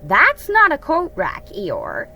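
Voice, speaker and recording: A woman's voice: sits high, wildly swooping pitch, takes it slowly, pushed and bright, slight background noise, pitched above the performer's own voice, full character voice. A woman voicing a self-assured, helpful rabbit in an emphatic, matter-of-fact storybook manner.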